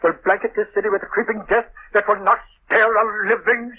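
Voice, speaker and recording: A man's voice: sits high, fairly even intonation, brisk, breathy edge. An actor playing a guilt-ridden male scientist in a 1930s radio drama, speaking with urgent, pleading gravity. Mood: grave. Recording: tinny old radio broadcast with hiss.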